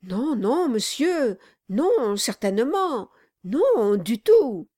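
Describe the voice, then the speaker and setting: A woman voicing an obsequious, timid male lawyer who wheedles and flatters. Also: a little high, slow, voice pushed up. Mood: wheedling. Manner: fawning, wheedling, deferential. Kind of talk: audiobook reading.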